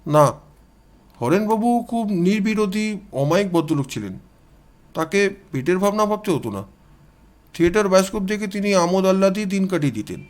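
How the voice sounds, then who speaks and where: A man's voice: high voice, animated, brisk, long pauses. A man voicing a courteous, troubled police inspector who explains things politely in an audio story. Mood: explaining.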